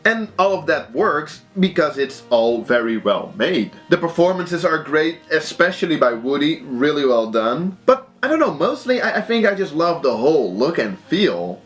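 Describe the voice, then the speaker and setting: A young Belgian man whose first language is Dutch, casually recommending movies in a halting, animated way with frequent restarts. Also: medium pitch.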